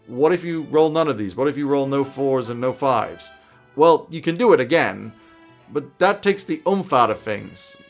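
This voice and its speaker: A man in his thirties hosts a scripted advice video from a small home office. His pitch sits in the middle range for a man, and light music plays behind him. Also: lively intonation, moderate pace. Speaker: YouTube host giving game master tips.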